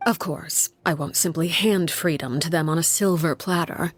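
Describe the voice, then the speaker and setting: A woman voicing a stern, solemn leader in a video game, speaking in a grave, measured, hushed way. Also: deep voice, soft background music. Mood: calm, firm. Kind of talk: dramatic video game acting.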